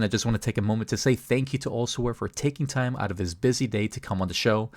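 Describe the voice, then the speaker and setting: A man with a deep-set voice hosts a podcast. He speaks casually and conversationally, addressing his guest and audience in an informal interview style. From Canada. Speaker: podcast host.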